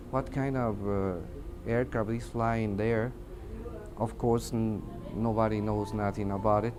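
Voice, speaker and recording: A man with a Mexican accent, a native Spanish speaker, giving testimony as an air traffic controller in hesitant, broken English, searching for words. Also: lowish voice. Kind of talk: interview testimony in halting English. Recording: music under the voice. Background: music.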